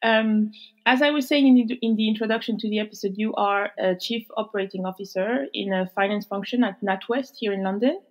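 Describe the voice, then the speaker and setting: A woman hosting an interview podcast, presenting in a measured, clear way. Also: mid-range voice, poor audio. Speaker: podcast host.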